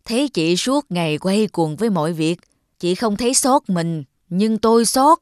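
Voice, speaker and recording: A woman's voice: medium pitch, lively intonation, normal speed, slight background noise, lightly acted. A woman playing a stern elderly cartoon grandmother and mother-in-law who scolds bluntly and frets. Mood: nagging. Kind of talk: cartoon voice acting. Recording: loud background music.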